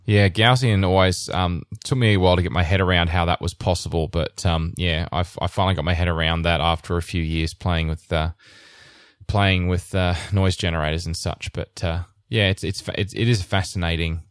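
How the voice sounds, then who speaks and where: A man with a deep, low voice hosts a casual technical podcast. He rambles, restarts his sentences and laughs often. The sound is dry and close, with no room in it. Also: steady tone, fairly quick, clear, resonant tone, dry recording. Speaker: podcast host.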